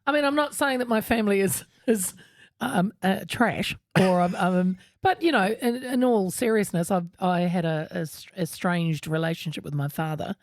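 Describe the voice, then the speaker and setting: A woman's voice: mid-range voice, moderate pace, dry recording, studio quality. A woman co-hosting a casual podcast chat, talking openly and laughing often. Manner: chatty, laughs often, opens up on personal matters. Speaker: podcast co-host.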